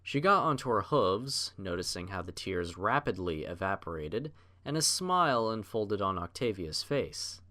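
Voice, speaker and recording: A man narrating a scripted story as an audiobook reader, in measured literary storytelling. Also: fairly low pitch, lively intonation, pushed and bright.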